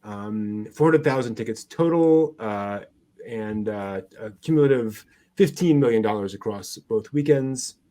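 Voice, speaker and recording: A man co-hosting an anime news show in a casual, halting way, as if searching through his notes while he talks. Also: on the low side, mellow.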